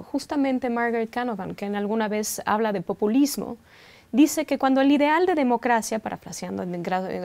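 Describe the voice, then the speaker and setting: A woman hosting an interview show, asking thoughtful, measured questions. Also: on the high side, darkish tone. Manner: measured, inquisitive. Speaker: interview host.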